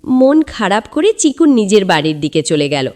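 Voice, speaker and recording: A woman's voice: mid-range voice, sing-song, moderate pace, mellow. A woman narrating a children's story in a theatrical, suspenseful, scripted style.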